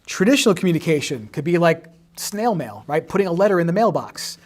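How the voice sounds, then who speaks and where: Male teacher, 40s, slightly hoarse, higher-pitched, patient livestream explainer. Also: big pitch swings, brisk. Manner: explanatory, peppers sentences with "right?". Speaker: history teacher. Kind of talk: livestream exam review lesson. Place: home in the suburbs.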